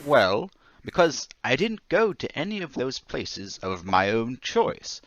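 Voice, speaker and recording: Guy acting a nervous polite little suspect man, old radio drama, stammery, bright lively pitch, stubborn underneath. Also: slow pace, lo-fi sound, slight background noise. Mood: earnest, insistent. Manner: hesitant, courteous, stammering. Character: meek, nervous, polite male murder suspect, quietly stubborn.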